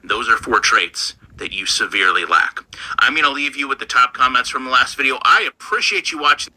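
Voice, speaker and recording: A man's voice: medium pitch, expressive, brisk, sharp tone, poor audio. A man hosting a commentary channel, lecturing bluntly in a scripted delivery.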